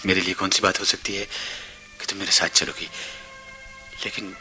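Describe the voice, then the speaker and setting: An earnest young suitor in a radio play, speaking with devotion and slight hesitation. The voice is fairly low yet strikingly bright, with faint music around it. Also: male voice, little pitch movement, moderate pace. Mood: earnest, concerned. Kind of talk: Hindi radio play acting.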